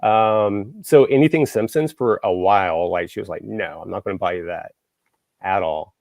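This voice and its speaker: Gravelly low-voiced man chatting casually. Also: normal speed, a little mellow, dry recording, studio quality. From Texas, US. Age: adult, grew up in the 1980s. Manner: rambling, lots of fillers and restarts. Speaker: show host. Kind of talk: casual podcast chat.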